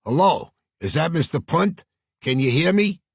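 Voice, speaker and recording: A man's voice: on the high side, normal speed, husky, no echo, studio quality, pitched above the performer's own voice. A man voicing an earnest, effusive New York talent agent with a Brooklyn accent in an audiobook. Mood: eager, flattering. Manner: effusive, flattering, salesman-like. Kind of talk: audiobook character voice.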